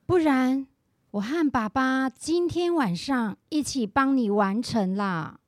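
A woman's voice: high-pitched, slow, no echo, studio quality. A woman acting a stern but caring mother in a radio drama. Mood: conciliatory.

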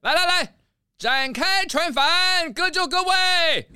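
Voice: very high-pitched, takes it slowly, pushed and bright, no room sound, clean studio sound, heavily acted. A performer playing a cocky, gambling-mad fox ship captain in a children's audio drama, goading and blustery. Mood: brash, commanding.

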